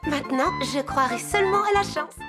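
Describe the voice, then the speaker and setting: A woman's voice: high voice, brisk, close to an everyday voice. A woman voicing a gloomy, superstitious young friend in a cartoon, dramatic and sure that doom is coming. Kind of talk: cartoon voice acting. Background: music.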